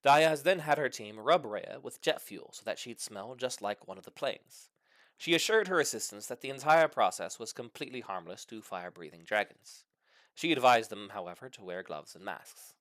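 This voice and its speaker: Animated man reading a comic story. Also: medium pitch, moderate pace, dry recording. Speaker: story reader. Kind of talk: animated scripted narration of a comic short story.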